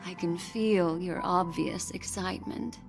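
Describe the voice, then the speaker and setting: A woman's voice: lowish voice, steady tone, unhurried, vocal fry, over music. A woman playing a mysterious, elegant fortune-teller in a video game, poised, teasing and seductive. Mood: teasing, intimate. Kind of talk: scripted game dialogue.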